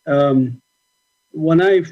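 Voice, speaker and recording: A middle-aged man from Palestine speaking at a conference about his work, thoughtful and halting, with many ums and restarts. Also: a little high, narrow, tinny audio. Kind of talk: talk about his life and activism.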